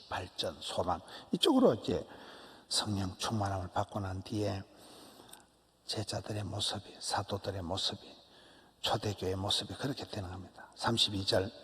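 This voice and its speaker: A man in his sixties with a Gyeongsang accent, a pastor giving an early-morning sermon in punchy repeated phrases that sometimes drop to a whisper. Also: fairly low pitch. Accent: Gyeongsang Korean. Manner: animated, emphatic preaching with short repeated phrases and drops to a whisper. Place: church sanctuary. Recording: church hall reverb.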